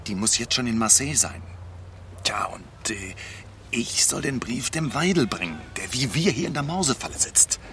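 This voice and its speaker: An actor playing an anxious, self-important refugee writer, hesitant yet insistent, in radio play dialogue. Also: male voice, mid-range voice, animated, normal speed, a bit bright, natural voice. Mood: secretive, nervous. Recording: noisy street ambience with traffic.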